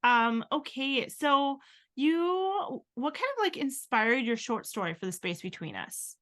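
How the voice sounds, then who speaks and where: A woman's voice: sits high, sing-song, unhurried. Encouraging woman asking questions. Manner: warm, encouraging, many short reactions. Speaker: co-host. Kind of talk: casual interview questions.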